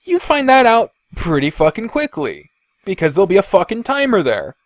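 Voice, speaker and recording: A man's voice: sits high, wildly swooping pitch, normal speed, no echo. A man hosting a podcast, talking casually and bluntly about video games with lots of swearing and complaints. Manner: frequent swearing, blunt, griping. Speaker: podcast host. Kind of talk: casual opinionated video game commentary.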